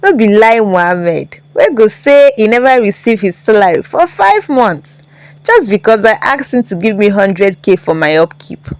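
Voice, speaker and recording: Woman acting a brash, animated young Nigerian friend. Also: medium pitch, moderate pace, no room sound. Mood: teasing. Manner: mocking, exclamatory.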